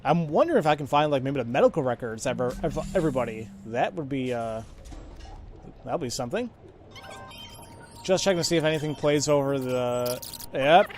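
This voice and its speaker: A man gives informal video game commentary in a medium-pitched voice. He thinks aloud in halting, casual phrases. There is faint noise behind him. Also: lively intonation. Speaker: let's play gamer.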